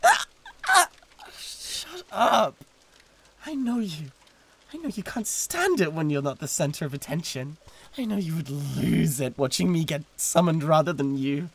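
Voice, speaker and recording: Man playing a breathy, jealous British demon. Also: on the high side, big pitch swings, fairly bright tone, heavily acted. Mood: jealous, bitter. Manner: halting, repeating words with wounded pride. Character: jealous, possessive male demon, flustered and pleading. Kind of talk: flirtatious audio roleplay.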